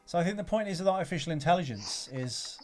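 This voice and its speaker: A man's voice: a little high, lively intonation, fast. A man co-hosting a podcast, chatting casually about films. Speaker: podcast co-host. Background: background music.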